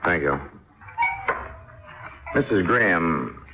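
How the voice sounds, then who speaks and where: An actor playing a weary, gruff state police captain in a radio drama, terse and businesslike. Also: male voice, deep voice, lively intonation, drawn-out words, heavily acted. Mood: weary, polite. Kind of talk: 1950s radio drama acting. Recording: dull, faintly noisy old radio recording.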